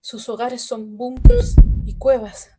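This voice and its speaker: An actress playing a radio announcer who reports an attack from an emergency station, then narrates calmly. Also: female voice, on the high side, loud music behind the voice. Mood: solemn. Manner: measured, solemn reporting. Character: female emergency news announcer, shaken but composed. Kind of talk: radio drama acting.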